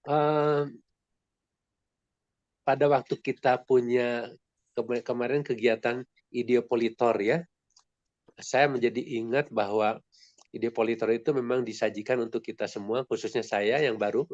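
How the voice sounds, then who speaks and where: A man's voice: medium pitch, no room sound, muffled recording. Congested nasal man on a video call. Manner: polite, rambling, many restarts. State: nasal and congested from a sore throat. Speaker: discussion participant. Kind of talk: online book discussion.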